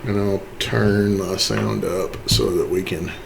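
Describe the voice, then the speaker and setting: A man's voice: on the low side, fairly even intonation, takes it slowly, creaky, slight background noise. A man giving a casual, unscripted tutorial in a folksy, self-deprecating way. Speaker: tutorial host. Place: indoors, voice-over to screen capture.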